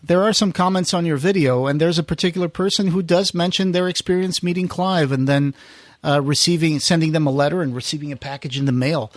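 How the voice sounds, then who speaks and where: A man with a slightly higher-pitched voice talks casually as a podcast host. He feels his way through sentences, often restarting and rewording. The recording is very dry, with no room sound. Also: a little fast, dry recording. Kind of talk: casual conversational recap.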